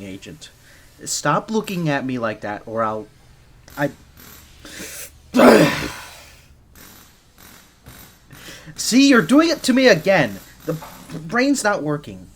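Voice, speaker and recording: Man playing a shy, flustered gadget maker, halting delivery. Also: fairly high pitch, sing-song, breathy edge, exaggerated voice. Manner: nervous, stammering, halting. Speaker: audio roleplay performer. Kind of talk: scripted ASMR roleplay, one side of a dialogue.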